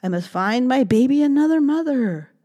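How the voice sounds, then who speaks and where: A woman's voice: sits high, animated, moderate pace, mellow, voice pushed up. A woman doing a fretful mother mouse. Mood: fretting. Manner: fretting, sing-song. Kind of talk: storybook reading.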